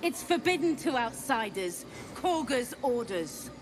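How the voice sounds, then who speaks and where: A woman's voice: fairly high pitch, big pitch swings, a little slow, noisy background. A woman voicing a curt, hostile gate guard in a video game, barking orders.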